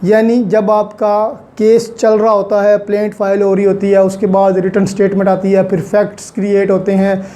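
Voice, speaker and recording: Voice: mid-range voice, monotone, normal speed, mellow. A law lecturer with a Pakistani accent explains in a didactic way, repeating key points and mixing in English legal terms.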